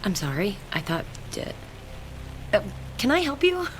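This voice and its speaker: An actress playing a wary, polite widow in a radio drama, hesitant and guarded but firm. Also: female voice, mid-range voice, sing-song, unhurried, noisy background. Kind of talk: modern radio drama acting.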